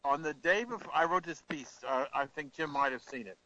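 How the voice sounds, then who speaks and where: A man co-hosting a talk show, speaking casually in a halting way with frequent restarts and chuckles. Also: on the high side, lo-fi sound, crowd noise. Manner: halting, restarts often, chuckles while talking. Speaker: co-host and columnist. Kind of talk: casual talk-radio chat.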